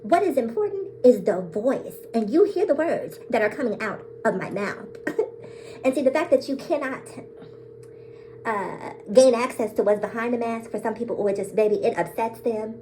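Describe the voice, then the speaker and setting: A bold, sassy woman with a somewhat high voice talks straight to her viewers in a casual vlog. She laughs often and drops "girl", "sis" and "baby" as she speaks her mind with full confidence. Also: expressive, normal speed, warm tone, soft background music, poor audio. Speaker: vlogger. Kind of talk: casual to-camera monologue.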